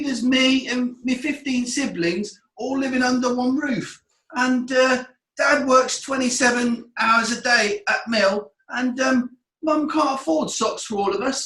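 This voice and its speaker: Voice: high-pitched, fairly bright tone. An adult actor playing a hard-done-by eight-year-old errand boy in a comic radio play, hesitant and deferential. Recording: roomy, echoing sound.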